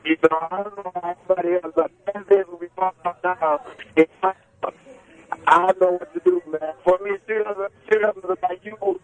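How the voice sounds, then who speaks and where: A man's voice: high-pitched, steady tone, brisk, faint music behind. Ghana-born man on a tinny phone line. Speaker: caller, truck driver. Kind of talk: casual phone call.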